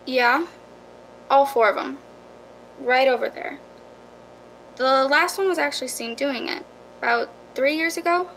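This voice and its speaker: Actress as a composed, high-voiced woman agent. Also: unhurried, pauses often, slight background noise, rough recording. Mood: matter-of-fact. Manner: calm, matter-of-fact, reassuring. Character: composed, practical female real estate agent. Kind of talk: radio drama acting.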